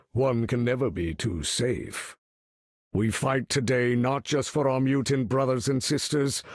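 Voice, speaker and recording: An actor playing a proud, menacing mutant leader in a video game, speaking in a measured, theatrical, grandiose way with a husky voice. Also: male voice, medium pitch, dry recording, studio quality. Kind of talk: video game character voice lines.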